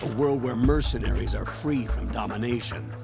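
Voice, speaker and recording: A man voicing a grave, older military commander giving a measured briefing in a video game. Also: medium pitch, gravelly, over music, natural voice.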